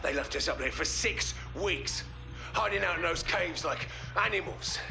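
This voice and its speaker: An actor with a British accent playing a volatile, traumatized special forces sergeant in a game cutscene, tense and haunted. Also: male voice, a little high, lively intonation, moderate pace, vocal fry, background noise. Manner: tense and haunted, erupts into anger. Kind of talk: video game cutscene acting.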